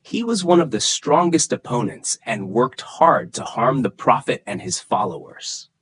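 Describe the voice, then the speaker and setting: A man's voice: medium pitch, very animated, unhurried, fairly bright tone. A man narrating a scripted religious story for children in a dramatic storytelling manner.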